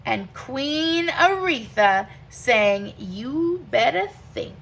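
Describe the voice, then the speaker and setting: A woman reads a children's picture book aloud at a very slow, measured pace. Her voice is bright and fairly high, and she places each phrase with deliberate emphasis, as a teacher would. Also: lively intonation, vocal fry, a little hiss or hum. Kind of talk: expressive read-aloud of a rhyming picture book.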